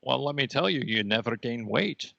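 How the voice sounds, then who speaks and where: Male actor as smug alien light being; courteous, measured, creaky and forceful, in a slightly dull recording. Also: medium pitch, lively intonation, no room sound. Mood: smug, polite. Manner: polite, measured, faintly superior. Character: smug, urbane cosmic red-dot energy being. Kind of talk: improvised comedy podcast character interview.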